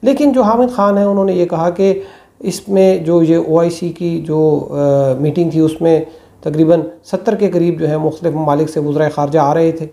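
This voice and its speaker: A man, giving political commentary to camera in a small room on a lapel mic, measured and full of hesitations. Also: on the high side, steady tone, gravelly, dark tone. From Pakistan. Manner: measured, many hesitations and fillers. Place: small indoor room with plain walls.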